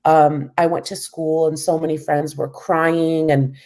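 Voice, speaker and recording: American woman guest, low warm voice, reflective, conversational. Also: little pitch movement, a little slow. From United States. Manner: thoughtful, frequent "you know". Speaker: guest academic. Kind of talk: interview answers.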